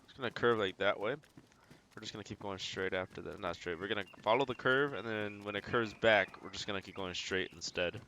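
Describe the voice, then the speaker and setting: Male game streamer, lower register, casual think-aloud commentary, noisy game ambience behind the voice. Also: fairly quick, pushed and bright. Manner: talks through decisions as if thinking aloud. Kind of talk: casual live gameplay commentary.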